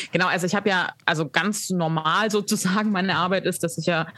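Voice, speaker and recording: A young female therapist answers interview questions over a video call in a slightly low voice. She giggles often and uses lots of filler words, and the sound is close and dry. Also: fairly even intonation, moderate pace, dry recording. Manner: warm giggly, many fillers. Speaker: sex therapist, guest.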